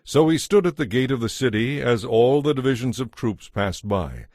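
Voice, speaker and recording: A man narrating a dramatized audiobook in a measured, solemn storytelling manner. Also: medium pitch, lively intonation, darkish tone, no echo, clean studio sound. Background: faint music.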